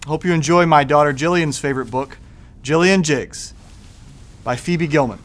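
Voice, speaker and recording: A man reading to children as a storybook narrator, expressive and sing-song with the rhymes. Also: a little high, normal speed. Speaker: school superintendent reading to children.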